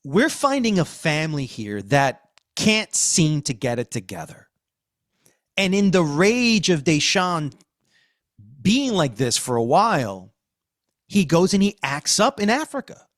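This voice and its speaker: Dry-sounding man with animated, swooping delivery. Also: a little high, unhurried, no room sound. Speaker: podcast host. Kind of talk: conversational review discussion.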